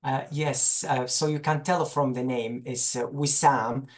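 A man's voice: mid-range voice, steady tone, measured pace. A man in his forties from Iraq with an Iraqi accent, talking informally as an interview guest on a video call, often searching for words. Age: 40s. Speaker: guest, religious teacher. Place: small room indoors, on a video call.

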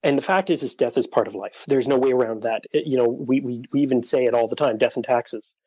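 A man guesting on a podcast talks earnestly about personal matters. He often restarts and repeats words as he searches for the right one. His voice is a little husky, recorded dry and close. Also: medium pitch, fast talker, warm tone, no echo. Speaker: podcast guest, dating coach for widowers. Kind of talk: casual interview chat.

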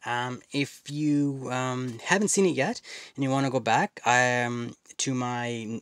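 A man's voice: mid-range voice, slow pace, a bit bright, smooth, dry recording, studio quality. Rambling man reviewing a film. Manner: rambling, many fillers and restarts. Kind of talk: casual spoken review.

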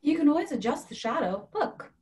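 An actress playing a calm young woman in a play reading, slightly high in pitch. She is deadpan and literal, holding her ground matter-of-factly. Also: a little fast. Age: young adult. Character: calm, matter-of-fact woman defending a small change. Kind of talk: script read-through of a one-act play. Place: indoors at home on a video call.

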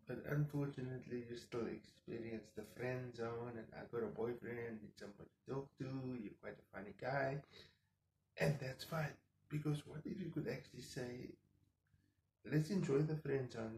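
A man in his thirties, a native Afrikaans speaker, rambles to camera in a hushed voice so he won't wake his household. His tone is mellow and dark, and the kitchen gives the recording a roomy echo over a faint hiss. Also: mid-range voice. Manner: subdued, keeps voice down so as not to wake the household; rambling, thinking out loud with frequent fillers. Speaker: vlogger. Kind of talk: casual monologue to camera. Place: home kitchen, indoors.

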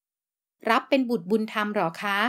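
A woman's voice: mid-range voice, measured pace, close to an everyday voice. A woman reading the lines of a young mother, wary and pleading, rising to angry outbursts in an audiobook. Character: young mother, frightened then defiant.

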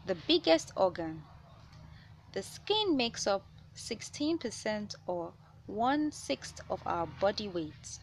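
A woman reads aloud from a children's science picture book at a slow, measured pace. Her voice sits at a middle pitch, with each phrase set out for young listeners. Some background noise runs under the recording. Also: very animated. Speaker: children's read-aloud host.

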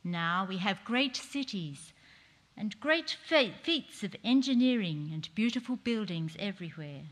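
A middle-aged woman performing a dignified 1940s woman politician's speech to parliament, in measured, declamatory theatrical oratory. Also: mid-range voice, expressive, a bit bright. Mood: earnest, stirring. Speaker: performer reading a historic speech on stage. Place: large theatre stage, indoors. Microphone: stage microphone on a stand. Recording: echoey hall with long reverb.

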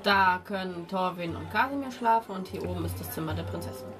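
A woman's voice: lowish voice, brisk, distant mic. A woman running a tabletop game as game master, improvising her narration in a conversational way. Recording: game table with music playing behind.

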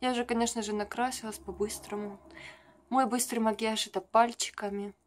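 A woman's voice: on the high side, steady tone, fairly quick, slight background noise. A young adult woman talks in a casual, confiding vlog style, rambling through everyday stories to a camera at arm's length in a room at home. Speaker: vlogger, makeup artist. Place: small furnished room at home.

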